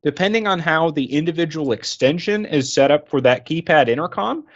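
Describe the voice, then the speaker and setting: A man's voice: a little high, lively intonation, a little fast, lo-fi sound. A man working as a product trainer, explaining things informally and conversationally with everyday examples.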